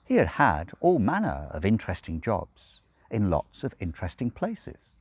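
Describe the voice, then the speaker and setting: Low-voiced man narrating with very lively intonation. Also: moderate pace, husky, dry recording. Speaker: audiobook reader. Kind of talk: expressive scripted narration of a novel.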